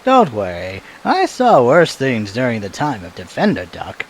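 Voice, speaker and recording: A man playing an old cartoon duck with genial, reassuring ways. His pitch leaps around widely. There is faint noise behind him. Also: wildly swooping pitch, a little slow. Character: genial old male duck, veteran of superhero days. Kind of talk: comic dub voice acting.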